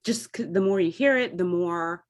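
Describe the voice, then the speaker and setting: A woman's voice: fairly low pitch, moderate pace. A woman talking casually and enthusiastically, like a theatre director thinking aloud about performance. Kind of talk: casual discussion of theatre craft.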